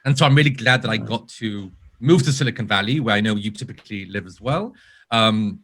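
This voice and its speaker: A man's voice: on the low side, a little fast, a bit bright. A man from Israel with a British-sounding accent, a guest in a remote interview, speaking earnestly and building his points in careful lists. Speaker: podcast guest, user researcher. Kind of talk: casual interview talk.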